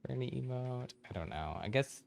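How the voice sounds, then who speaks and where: A man's voice: medium pitch, animated, normal speed, gravelly, a little mellow, slight background noise. A man livestreaming a video game with casual, chatty, informal commentary. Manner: informal, often chuckles between remarks.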